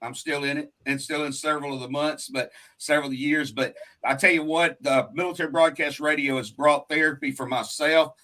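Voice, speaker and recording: A man's voice: fairly high pitch, fairly even intonation, a little fast, hardly any pauses, sharp tone, low-quality recording. A man hosting a faith-based talk show in a folksy, talkative, rambling and earnest way. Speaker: radio show host.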